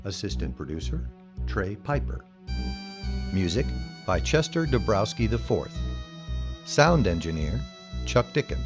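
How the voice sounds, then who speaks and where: A man reading scripted credits as an announcer in a measured, formal way. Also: lowish voice, very animated, highs cut off. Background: music.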